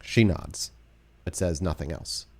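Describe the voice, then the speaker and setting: A man's voice: deep voice, a little slow, gravelly, darkish tone. A man working as game master, improvising descriptive narration for a tabletop horror role-playing game.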